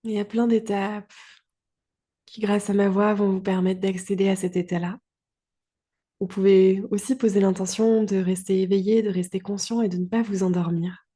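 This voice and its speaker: A woman guiding a relaxation meditation as a yoga teacher, calm and soothing, in short phrases with long pauses. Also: medium pitch, husky. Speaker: yoga nidra guide.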